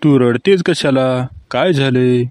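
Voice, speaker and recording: A man's voice: mid-range voice, slow pace, a little mellow, no room sound, close to an everyday voice. A man playing a sarcastic, teasing husband in scripted comedy cartoon skits. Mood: dry, teasing.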